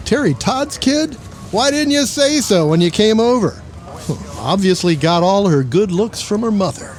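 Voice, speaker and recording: An actor playing a cocky, wisecracking reporter with a flippant, theatrical manner in a noir audio drama. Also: male voice, high-pitched, lively intonation, measured pace, faint music behind. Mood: wheedling, teasing. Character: wisecracking, cocky pulp newspaper and radio reporter.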